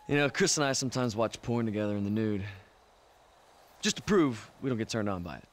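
An actor playing a vain, laid-back celebrity cult devotee in a video game, breezy and self-satisfied bro talk. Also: male voice, fairly low pitch, expressive, normal speed, natural voice. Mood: breezy, gleeful. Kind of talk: video game cutscene acting.

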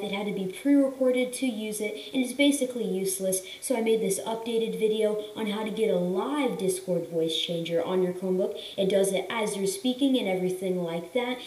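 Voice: mid-range voice, darkish tone. A tutorial host narrating step-by-step tech instructions in a scripted style. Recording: roomy echo.

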